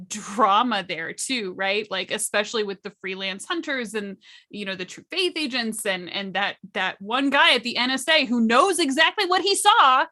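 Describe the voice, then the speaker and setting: A woman's voice: a little high, bright, forceful tone. A woman casually explaining roleplaying storytelling, emphatic and drawing out her key words. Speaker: panelist at a tabletop roleplaying storytelling workshop.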